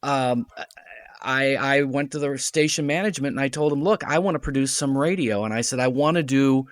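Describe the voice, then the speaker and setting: Animated storytelling man, dry sound. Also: mid-range voice, a little fast, no room sound. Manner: storytelling, animated, quotes others in dialogue. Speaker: radio drama producer and writer, podcast guest. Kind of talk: casual chat.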